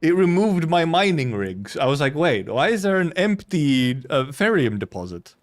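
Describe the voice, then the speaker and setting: A man's voice: a little high, big pitch swings, moderate pace. A man doing casual live game commentary as a streamer, rambling and correcting himself as he goes. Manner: rambling, loosely self-correcting, casual swearing.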